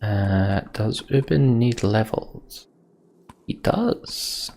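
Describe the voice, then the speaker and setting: A man's voice: on the low side, very slow, gravelly, dark tone, a little hiss or hum. A young man thinking aloud as he plays a game, muttering to himself. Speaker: let's play host. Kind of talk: gameplay commentary.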